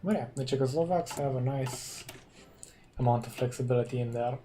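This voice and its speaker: A Romanian man, a non-native English speaker, casually commenting on a strategy game and thinking aloud with hums and pauses. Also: medium pitch, warm tone, a little hiss or hum. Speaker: gaming commentator.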